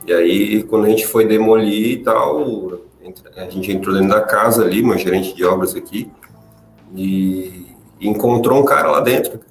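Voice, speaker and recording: A man telling a story in casual podcast chat, in a relaxed, laid-back way. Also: on the low side, moderate pace, creaky. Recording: roomy sound with faint music.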